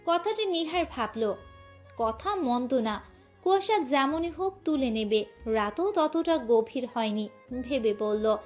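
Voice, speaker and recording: A woman's voice: high voice, lively intonation, takes it slowly. A woman giving scripted narration of a romantic story. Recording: music bed under voice.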